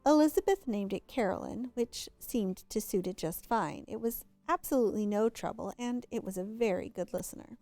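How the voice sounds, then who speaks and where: A woman's voice: mid-range voice, normal speed, few pauses, mellow, faint music behind. A woman reading a children's story aloud expressively, drawing out words for emphasis. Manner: draws out and stresses words for emphasis. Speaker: storybook reader.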